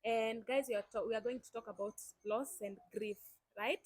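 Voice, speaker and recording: A woman's voice: a little high, steady tone, moderate pace, a little mellow. Chatty Kenyan woman hosting a channel. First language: Swahili. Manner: chatty, enthusiastic, addresses viewers directly. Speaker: YouTube host. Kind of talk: casual chat.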